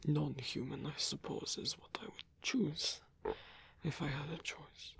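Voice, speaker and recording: An ancient, lonely male vampire, played by a man in an intimate ASMR audio roleplay. He whispers very huskily and takes his time, pausing to muse over words. His phrasing is formal and old-fashioned, with a gentle, wistful temper. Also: fairly high pitch, fairly even intonation, dry recording, exaggerated voice. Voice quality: breathy, husky whisper.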